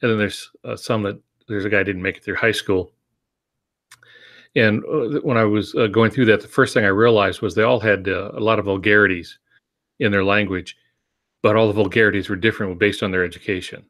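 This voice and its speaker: A man with a fairly low voice talks as a co-host in a casual panel discussion. He explains through examples, feeling his way forward in a halting, measured manner. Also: steady tone, fairly bright tone. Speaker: podcast co-host.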